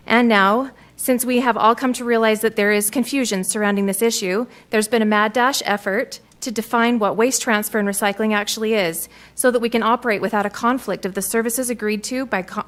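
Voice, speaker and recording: A woman who owns a business, reading an earnest, defensive prepared statement at a city council meeting. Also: medium pitch.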